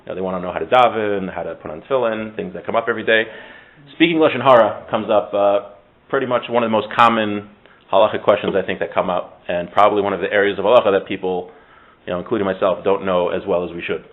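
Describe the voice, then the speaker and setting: A man's voice: on the low side, fast. A man teaching an informal Torah class in a conversational, joking, self-interrupting way, sprinkling Hebrew terms into his talk. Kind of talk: informal religious lesson with discussion. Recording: thin phone-like audio.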